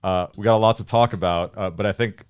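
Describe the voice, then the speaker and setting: Deep-voiced male podcast host. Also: fairly even intonation, fast talker, clear, resonant tone, dry recording. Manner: friendly, calls guest brother and man. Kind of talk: casual interview.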